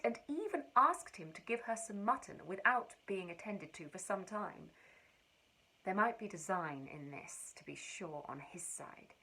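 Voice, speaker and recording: A woman giving an expressive, wry and precise reading of a period letter. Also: mid-range voice, slightly rough, low-quality recording. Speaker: reader.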